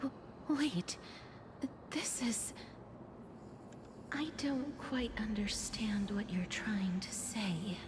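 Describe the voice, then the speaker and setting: A woman playing a gentle, solemn young holy maiden in a video game, speaking softly and breathily in a formal, hesitant way. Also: medium pitch, lively intonation, extremely slow, background noise. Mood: earnest, subdued. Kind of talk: scripted English video game voice acting. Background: game music.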